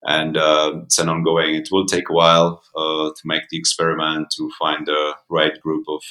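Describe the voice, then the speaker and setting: A man with a Czech accent speaks as an interview guest in a very low voice with a bright edge. His intonation stays flat as he explains things conversationally, feeling for words. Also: moderate pace. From Czech Republic. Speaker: mountain coach and podcast guest.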